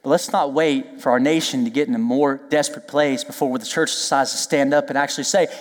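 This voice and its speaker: A male pastor preaching a lively, joking sermon, then leading prayer and worship while losing his voice. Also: mid-range voice, brisk, long reverb tail. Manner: lively, joking, emphatic preaching with call-and-response asides. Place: church sanctuary.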